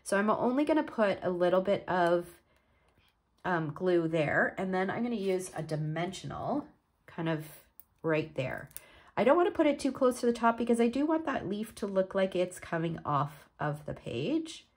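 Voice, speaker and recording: A woman with a low, husky voice talks through a card-making project step by step as she works. She is a little stuffed up from a cold. Her tone is relaxed and easygoing. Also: moderate pace. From Canada. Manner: relaxed, step-by-step explaining while working. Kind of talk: crafting tutorial.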